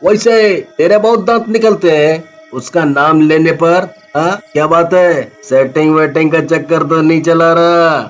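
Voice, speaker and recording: A man's voice: a little high, steady tone, measured pace, few pauses, lo-fi sound, soft background music, close to an everyday voice. A man voicing a brash, bossy street tough in a cartoon, teasing in slangy talk. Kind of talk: Hindi cartoon voice acting.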